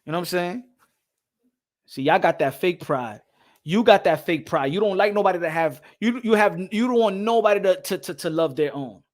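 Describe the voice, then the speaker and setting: A man's voice: high-pitched, normal speed. A man from the Dominican Republic hosting a boxing podcast, ranting heatedly on a live stream, reading chat, mimicking others and swearing. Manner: excitable, swears, mimics others, switches into Spanish.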